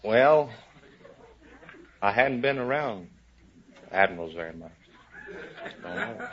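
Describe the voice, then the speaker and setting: A man's voice: mid-range voice, big pitch swings, slow pace, vocal fry. A man, a navy sailor, giving plainspoken, folksy answers as a contestant on an old 1950s radio show. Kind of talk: casual interview answers. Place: studio in front of audience. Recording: noisy muffled 1950s radio broadcast recording.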